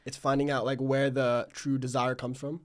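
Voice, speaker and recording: A man's voice: medium pitch, level tone, moderate pace. A young man hosting a casual podcast interview, talking informally and reflectively with plenty of "like". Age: young adult. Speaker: podcast host.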